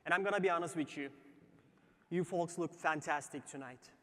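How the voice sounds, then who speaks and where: A man from Turkey with a Turkish accent, delivering an emphatic startup pitch on a theatre stage. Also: normal speed, noisy background. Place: large theatre stage before live audience. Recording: long reverberant theatre hall.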